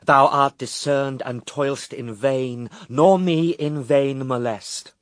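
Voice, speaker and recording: An actor playing a calm, resolute holy man who rebukes his tempter in classical radio verse drama, measured, dignified and firm. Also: medium pitch, no echo, studio quality, natural voice.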